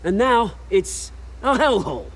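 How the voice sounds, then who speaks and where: An actor playing a scrappy, cocky criminal with a bitter streak in a video game, with a British accent and slangy, theatrical swagger. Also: male voice, high-pitched, lively intonation, measured pace, a bit bright, slightly rough, exaggerated voice. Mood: bitter, wistful. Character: scrappy, volatile male criminal old friend, bitter and cocky.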